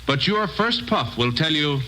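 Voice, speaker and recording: A man's voice: on the high side, animated, a little slow, sharp tone, poor audio. A man announcing in a formal, emphatic, scripted 1950s radio style. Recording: vintage radio recording.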